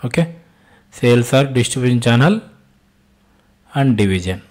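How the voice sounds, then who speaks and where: A man with an Indian accent and a mid-pitched voice walks learners through software as an instructor. He talks off the cuff, step by step, often repeating phrases and asking himself short questions before answering them. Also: animated, moderate pace. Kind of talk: step-by-step screen-share tutorial, spoken off the cuff.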